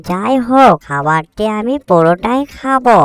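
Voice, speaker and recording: A woman's voice: mid-range voice, lively intonation, normal speed, close to an everyday voice. A woman voicing a poor, hungry cartoon ant, earnest and plaintive in the way it talks. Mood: hungry, pleased. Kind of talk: cartoon dialogue.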